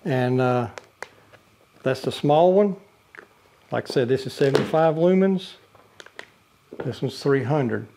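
A man's voice: mid-range voice, animated, slow, long pauses, noisy background. An older man casually demonstrating a camping lantern in his living room, talking plainly with frequent uhs and restarts. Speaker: product reviewer. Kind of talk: casual product demo. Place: living room with a brick wall, indoors.

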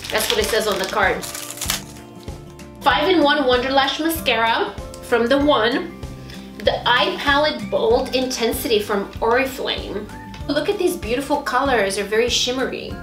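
A woman's voice: on the high side, measured pace, reverberant, over music. A woman, gushing with squeals as she unboxes beauty products in a vlog. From Romania. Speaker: beauty vlogger. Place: small bright indoor home studio.

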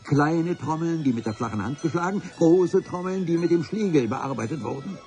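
Ein Schauspieler spielt einen hochtrabenden Abenteurer, der im Hörspiel feierlich und wortreich von seiner Expedition erzählt. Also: male voice, fairly high pitch, expressive, normal speed, a little mellow, faint music behind, lightly acted. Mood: solemn, grand. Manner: pompous, ornate storytelling. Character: grandiloquent male adventurer recounting his expedition. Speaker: narrator. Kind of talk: dramatic first-person radio play narration.

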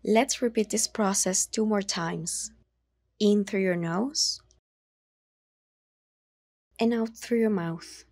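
Female meditation narrator, mid-pitched, very measured and soothing, slow delivery with room to breathe. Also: a little mellow, dry recording, clean studio sound. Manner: soothing, slow, measured instructions with long pauses. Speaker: meditation guide.

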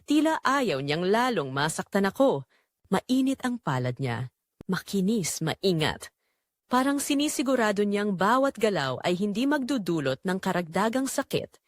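A woman narrates a scripted first-person drama with measured, emotive delivery. Her medium-pitched voice moves expressively up and down, and the recording is dry and close. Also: sing-song, no echo.

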